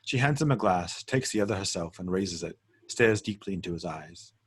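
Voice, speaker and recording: A man narrating screen directions at a script table read in a measured, descriptive way. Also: deep voice, expressive, husky.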